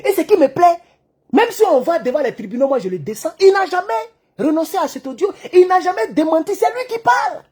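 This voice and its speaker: A man giving an animated political monologue, acting out the dialogue in his stories. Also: very high-pitched, brisk. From Côte d'Ivoire. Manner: excitable storyteller who acts out dialogue. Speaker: online political commentator.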